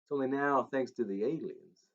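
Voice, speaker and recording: A man's voice: mid-range voice, moderate pace, lightly acted. A man voicing a paunchy middle-aged driver who claims to be Elvis, crooning with a put-on Southern twang. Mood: conspiratorial. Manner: crooning, swaggering, conspiratorial. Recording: slightly dull recording.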